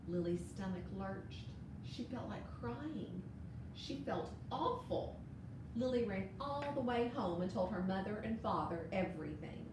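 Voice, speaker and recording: Woman reading a storybook in a very echoey room. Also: mid-range voice. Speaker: storyteller for children. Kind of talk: children's picture book read-aloud. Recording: very echoey room, distant mic, noise.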